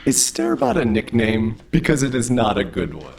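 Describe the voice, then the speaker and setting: A man's voice: on the low side, wildly swooping pitch, normal speed, gravelly, darkish tone, breathy edge, full character voice. A man playing an overly friendly, literal-minded robot in an audio drama, with formal, stilted speech. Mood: earnest, friendly.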